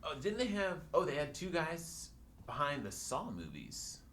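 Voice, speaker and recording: A man chatting casually on a podcast, excitable and quick to interject, with some noise behind him. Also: on the high side. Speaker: podcast panelist. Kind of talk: casual chat. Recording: noticeable background noise.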